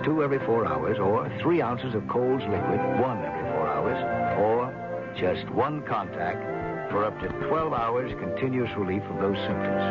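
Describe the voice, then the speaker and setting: A lowish male announcer reads a scripted radio commercial. Loud music plays behind him, and the vintage recording is band-limited. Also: highs cut off. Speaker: advert announcer.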